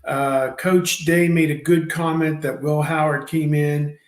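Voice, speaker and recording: A man in his fifties hosting a sports talk show, rambling, with many fillers and pauses. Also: fairly high pitch, little pitch movement, roomy sound. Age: 50s. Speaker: podcast host.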